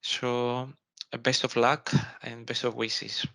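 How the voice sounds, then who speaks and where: A man's voice: medium pitch, level tone, slow, gravelly, pushed and bright, poor audio. A young man with a Greek accent, a non-native English speaker, giving earnest, encouraging advice as an alumnus on an online panel, sometimes searching for words. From Greece. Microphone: video call.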